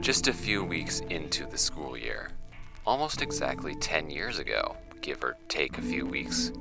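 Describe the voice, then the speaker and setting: A man acting as an amateur podcaster who presents mysterious case files in a wry, measured way. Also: rumbling low. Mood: dry, explanatory. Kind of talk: scripted horror fiction podcast narration. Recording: music bed behind the voice.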